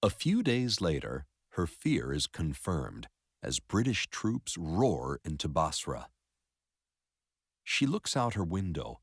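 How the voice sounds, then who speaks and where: A man narrating a story in an expressive educational read-aloud style. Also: deep voice, no room sound, studio quality.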